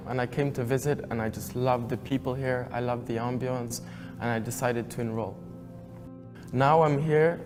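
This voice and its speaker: A young man from Sweden speaking earnestly as a student in a recorded testimonial. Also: medium pitch. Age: young adult. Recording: long reverb with loud music behind. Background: music.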